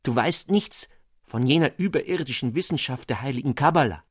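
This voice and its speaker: A man's voice: mid-range voice, lively intonation, brisk, breathy edge, no room sound, clean studio sound. A man voicing a whining, solemn old bearded sorcerer of a father in a fairy-tale reading.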